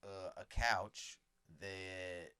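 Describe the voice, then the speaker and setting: A man co-hosting a comedy podcast, rambling through absurd improvised bits in a deadpan way. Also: low voice, extremely slow, smooth, no room sound. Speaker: podcast co-host.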